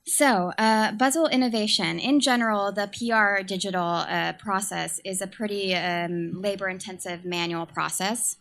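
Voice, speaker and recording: A young woman from San Francisco with a quiet voice, giving a nervous startup pitch as communications manager, hesitating with many ums and uhs. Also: moderate pace, few pauses.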